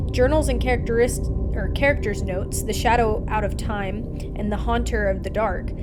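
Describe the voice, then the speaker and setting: A woman hosting a livestream, chatting casually and giving her opinions in an informal, conversational way. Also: on the high side. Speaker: livestream host. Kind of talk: casual chat over gameplay.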